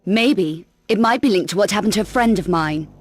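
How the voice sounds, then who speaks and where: A woman's voice: mid-range voice, brisk, lightly acted. A woman playing a brash, cool adventurer heroine in a video game, with a curt, sardonic and defiant manner. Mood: businesslike, guarded. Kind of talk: video game cut-scene acting.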